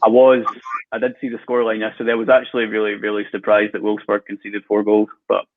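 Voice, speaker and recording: Man, Glasgow Scottish accent, podcast guest, relaxed chat, tinny phone-like audio, low-ish pitch. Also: fast talker, slight background noise. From Scotland. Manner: easygoing, with frequent fillers and light laughs. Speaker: guest football fan.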